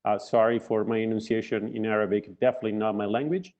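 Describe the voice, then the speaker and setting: A man's voice: fairly low pitch, level tone, a little mellow. Courteous man moderating a panel, muffled audio. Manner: brisk, courteous, introduces speakers.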